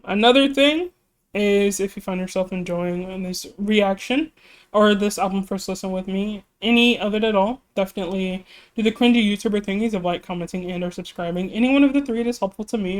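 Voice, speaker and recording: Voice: mid-range voice, fairly quick. Chatty reaction YouTuber with a strained voice. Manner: rambling, chatty, laughs often; self-deprecating, apologetic. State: slightly losing voice, cracking. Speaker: music reaction YouTuber and streamer. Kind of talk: casual reaction video chat.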